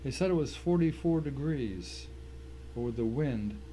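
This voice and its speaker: A man's voice: medium pitch, expressive, a little slow, mellow, slight background noise, lightly acted, low-quality recording. A man playing a tense, hard-bitten thriller narrator who tells his own ordeal in dramatic scripted first person. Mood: grim.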